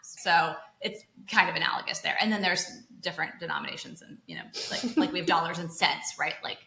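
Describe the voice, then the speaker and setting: A woman's voice: fairly low pitch, fairly quick, vocal fry, sharp tone, echoey room, rough recording. A woman in her thirties, hosting a podcast and explaining finance in a chatty way, full of asides and "like". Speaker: podcast host. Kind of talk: casual explanatory chat.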